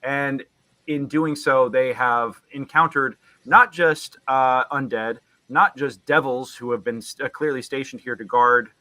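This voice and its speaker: A man hosting a tabletop game as game master, narrating in a halting way that often backtracks. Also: medium pitch, steady tone, pushed and bright, no echo, low-quality recording. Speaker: game master and stream host.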